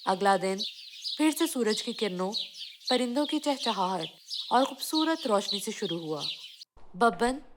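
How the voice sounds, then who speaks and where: A woman's voice: medium pitch, animated, unhurried, noisy background. A woman reading scripted narration for a children's cartoon story.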